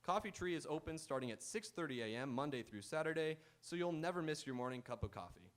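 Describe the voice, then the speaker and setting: A man's voice: on the high side, expressive, fast. A man hosting a live game show on stage, bantering playfully and reading announcements to the audience. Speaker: quiz show host. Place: auditorium stage.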